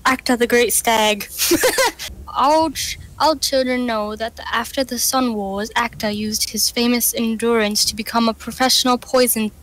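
A woman's voice: on the high side, steady tone, a little slow, fairly bright tone, low-quality recording. A woman chatting casually and playfully while gaming, swearing and reading game text aloud. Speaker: gamer, co-player.

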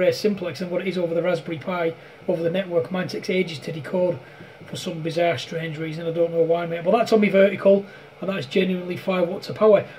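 A man's voice: high voice, darkish tone, a little hiss or hum, rough recording. A man chatting casually and matily over amateur radio, with an informal, bantering manner. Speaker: amateur radio operator filming a contact.

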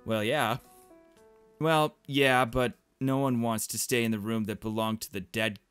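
A man's voice: mid-range voice, fairly even intonation, measured pace, fairly bright tone, smooth, no echo, close to an everyday voice. A man voicing a flippant, evasive teenage schoolgirl from a visual novel, with breezy, exasperated teen talk. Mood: casual. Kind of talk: visual novel character read aloud. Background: game music.

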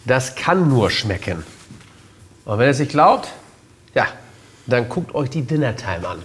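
A man's voice: medium pitch, unhurried, slightly rough. A man in his thirties hosting a cooking show, chatting casually with a guest and talking straight to viewers, on a lapel mic in a studio kitchen. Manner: chatty, lively, addresses viewers directly. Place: studio kitchen set, indoors.

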